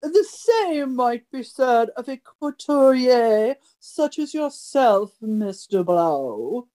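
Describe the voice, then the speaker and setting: A woman playing a pompous great detective in an over-the-top radio mystery parody, showing off deductions in a deliberate, grand manner. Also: fairly high pitch, sing-song, warm tone. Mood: smug, pompous.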